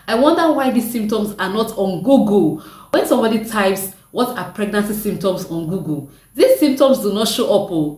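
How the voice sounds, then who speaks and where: A young woman with a deep voice, chatting casually to her viewers and laughing often. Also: a little mellow, distant mic. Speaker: vlogger. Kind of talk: casual chat to camera. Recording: echoey room, mic set back.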